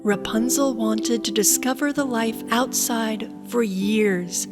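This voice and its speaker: A woman narrating a children's fairy tale from a script in an expressive storytelling manner. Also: a little high, slow, over loud music.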